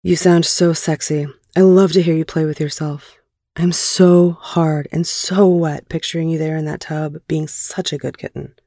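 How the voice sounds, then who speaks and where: Woman as low, stern dominant male lover. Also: steady tone, moderate pace, dark tone, no room sound, studio quality. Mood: aroused, praising.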